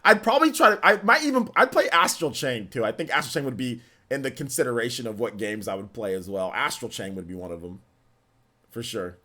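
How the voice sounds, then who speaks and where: A man's voice: mid-range voice, expressive, a little fast, pushed and bright. Rambling young man streaming live. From California. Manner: rambling, repeats himself, many restarts and fillers. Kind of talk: casual live-stream chat reading viewer questions.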